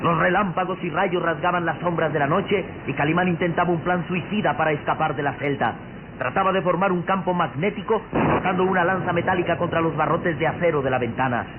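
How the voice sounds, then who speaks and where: High-pitched dramatic man narrating old radio serial. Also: noisy background. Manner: theatrical, suspenseful, declamatory. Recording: tinny old radio recording with loud music.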